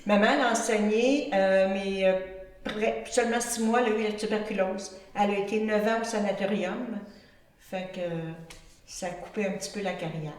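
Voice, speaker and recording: A wry, lively Québécois woman in her sixties tells family stories, stopping on hesitant fillers along the way. Her voice is picked up from some distance. It rings in a very echoey room. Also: mid-range voice, moderate pace. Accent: Québécois French. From Quebec. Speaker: interview participant. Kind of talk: storytelling in a group interview.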